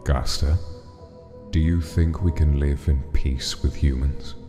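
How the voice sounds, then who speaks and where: A man's voice: a bass voice, steady tone, slow pace. An actor playing a grieving, embittered monster king in a comic dub, with a grave, commanding delivery. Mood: grave, probing. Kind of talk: dramatic comic dub acting. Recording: long reverb, clear music behind.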